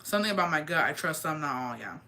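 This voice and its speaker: Brief: deep-voiced woman livestreamer, bright, forward tone, slangy gossip chat. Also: big pitch swings, fast talker. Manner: chatty, slangy, mixes in Spanish phrases. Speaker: livestreamer reading viewer comments.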